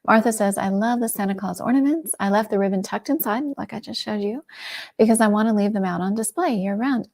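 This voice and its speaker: A woman's voice: mid-range voice, a little fast, vocal fry. Chatty woman hosting a shopping livestream. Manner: chatty, enthusiastic, drops into soft asides. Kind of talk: casual product presentation.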